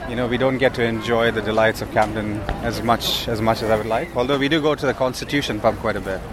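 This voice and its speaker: A man's voice: mid-range voice, quick pace. Chatty man, street traffic behind. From India. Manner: relaxed, chatty, many fillers and restarts. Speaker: food startup co-founder. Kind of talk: casual walking interview. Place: outdoors on a city street. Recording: outdoor street recording with traffic. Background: traffic noise.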